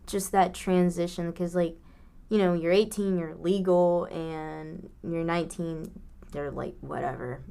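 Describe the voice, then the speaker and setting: A relaxed young woman in her twenties co-hosts a podcast, chatting easily and dropping quick asides. Her voice is a touch low for a woman. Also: creaky, smooth. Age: 20s. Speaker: podcast co-host. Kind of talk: casual chat.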